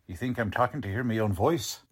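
A man's voice: fairly low pitch, fairly quick, husky. A man playing a blunt, sarcastic police lieutenant in the style of a 1940s radio drama. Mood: sarcastic, questioning. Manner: blunt, mocking, slangy.

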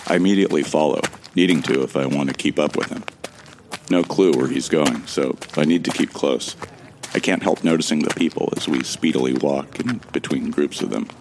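An extremely deep-voiced amnesiac man narrates an audio drama in the first person. His voice crackles with gravelly fry. He is observant and friendly, with a wry, conversational delivery. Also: fairly quick. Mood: observant, urgent.

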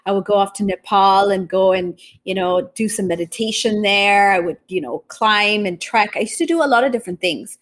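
A woman's voice: medium pitch, normal speed, hardly any pauses. A woman from Japan hosting a podcast in a conversational interviewing style. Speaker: podcast host.

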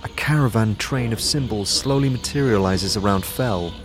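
A man narrating a fantasy audio drama in a measured, scripted storytelling style. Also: fairly low pitch. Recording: music behind the voice.

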